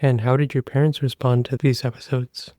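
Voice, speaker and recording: A man, a physician hosting a podcast, reading a formal introduction and asking interview questions in a measured way. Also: medium pitch, little pitch movement, warm tone, no echo, studio quality.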